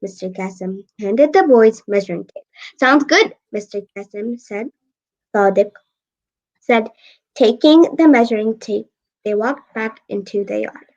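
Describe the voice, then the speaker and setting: A young girl of eight reads a storybook aloud in a high voice. She trips over words and repeats phrases as she goes. The recording is dull and lo-fi. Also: unhurried, a little mellow. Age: child, 8 years old. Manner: stumbles and repeats phrases while reading. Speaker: young guest co-reader. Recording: dull lo-fi audio.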